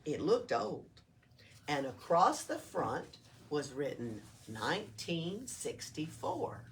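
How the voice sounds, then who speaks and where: A woman's voice: deep voice, big pitch swings, slow pace. A woman reading a children's storybook aloud as a library storyteller. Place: library room. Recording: distant microphone, noisy room.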